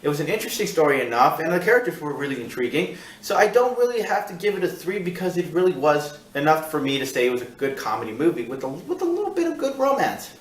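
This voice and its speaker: A man hosting a casual film review, talking in a rambling, halting way and repeating himself as he searches for words. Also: fairly high pitch, sing-song. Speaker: film review show host. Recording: echoey room, distant mic.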